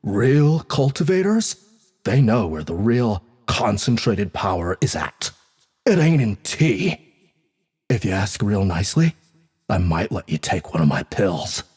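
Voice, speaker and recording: Cocky young god punk for an audiobook, sneery and taunting, voice swoops around, kinda raspy. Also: male voice, fairly low pitch, big pitch swings, measured pace, a little mellow, full character voice. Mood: cocky, threatening. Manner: sneering, condescending.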